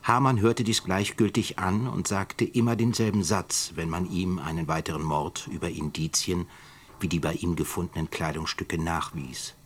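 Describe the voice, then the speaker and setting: A man acting as a serious, intense journalist in a radio play. His voice is deep and measured, and there is clear noise with clicks behind it. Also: steady tone, natural voice. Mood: grave. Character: grave, intense male journalist reporting on a murder trial. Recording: clearly noisy background with clicks.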